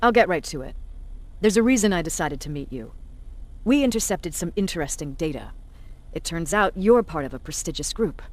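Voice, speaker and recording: An actress playing a tough, stern resistance commander in a video game, authoritative, curt and dryly sardonic. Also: female voice, on the low side, close to an everyday voice. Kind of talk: dramatic video game acting.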